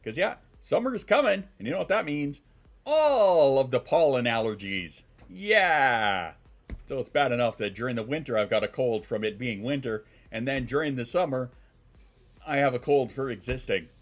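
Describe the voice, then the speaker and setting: A man's voice: medium pitch, expressive, normal speed. A man in his thirties, congested and sniffling, chatting casually on a live stream, rambling, swearing and reacting to chat. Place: home.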